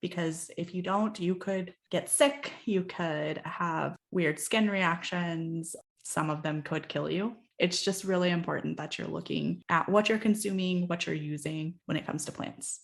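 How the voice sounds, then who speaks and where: A woman co-hosting a podcast, chatty and quick with frequent laughs, her nose stuffed up from allergies. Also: fairly low pitch, gravelly. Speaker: podcast co-host. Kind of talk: casual chat and informal explainer.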